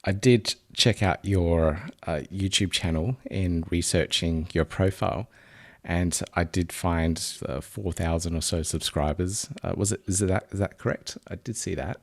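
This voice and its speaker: A man's voice: low-pitched, measured pace. A man hosting a podcast interview, giving brief affirmations and asking tentative questions. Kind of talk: interview conversation.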